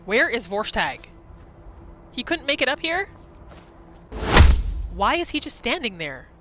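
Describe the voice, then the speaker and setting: A woman's voice: on the high side, measured pace, noisy background. A woman doing casual, playful gameplay commentary, narrating her roleplay as she goes and talking to herself. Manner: playful, expressive, talking to self and game. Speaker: gamer narrating own roleplay playthrough. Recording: game music behind the voice. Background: video game music.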